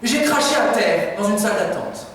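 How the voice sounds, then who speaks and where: A man's voice: high voice, expressive, normal speed, a bit bright, a little hiss or hum. A young man, a drama student, reciting an insistent, repetitive confession on stage. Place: theatre stage. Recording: echoey hall, distant mic.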